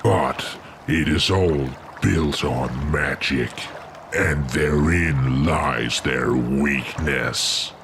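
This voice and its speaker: A man's voice: rumbling low, background noise, exaggerated voice. A man voicing a cruel, scheming orc warlock in a fantasy game, sneering and imperious. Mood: scheming, instructing. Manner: sneering, imperious, deliberate menace. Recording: loud music under the voice.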